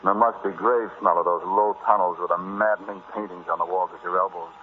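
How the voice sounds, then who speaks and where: A man's voice: low-pitched, fairly even intonation, fast talker, lightly acted. An actor in an old-time radio drama playing a flippant, stubborn explorer husband who hides his fear, telling his tale in a wry, conversational way. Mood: haunted. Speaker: narrator and lead actor. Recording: muffled, noisy vintage radio recording.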